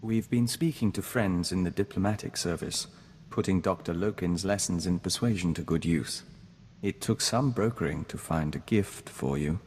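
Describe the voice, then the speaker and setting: A man voicing a gentle, devoted diplomat of an insect hive mind, formal and measured, in a video game. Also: sits low, husky. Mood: tender, earnest. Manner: formal, measured, speaks of himself as we. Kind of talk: scripted video game dialogue acting. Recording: long reverb, noisy game ambience.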